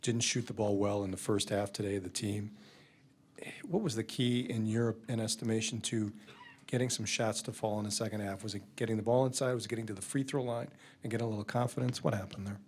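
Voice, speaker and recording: Wordy male reporter, slightly low. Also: fairly even intonation, a little mellow, a little hiss or hum. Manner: long wordy questions, quick. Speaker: sports reporter. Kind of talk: press conference questions. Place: press conference room.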